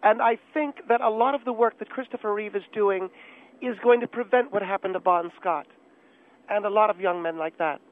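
A high-pitched, self-righteous woman played by a man argues as a talk radio guest over a narrow phone line. She is opinionated and condescending, needling whoever she talks to. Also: normal speed, natural voice. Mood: breezy, reminiscing. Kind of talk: talk radio debate in character.

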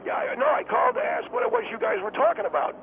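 Male caller, very high and swooping voice, gravelly, confused and halting, airport noise behind. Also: huge pitch swings, narrow, tinny audio. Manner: confused, hesitant, many fillers.

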